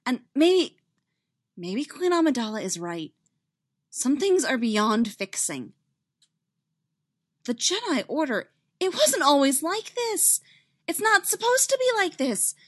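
A woman voicing a distressed, insistent young female Jedi apprentice in dramatic audiobook dialogue, with emphatic, halting protests. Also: on the high side, very animated, pitched above the performer's own voice. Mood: urgent, insistent.

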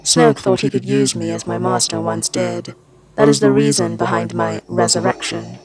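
A woman doing a deep, weary masked warlord. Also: expressive, gravelly, a crowd in the background, exaggerated voice. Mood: grave. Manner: formal, measured and quiet. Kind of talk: fan fiction audiobook reading.

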